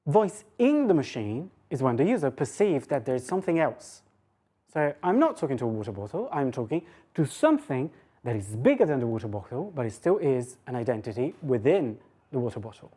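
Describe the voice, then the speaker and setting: A woman with a low voice delivers an academic talk in a conversational register. She thinks aloud as she goes, hesitating, interrupting herself and posing rhetorical questions. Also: very animated, fairly quick, dark tone, slightly rough. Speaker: lecturer giving a talk. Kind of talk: academic lecture, informal and conversational.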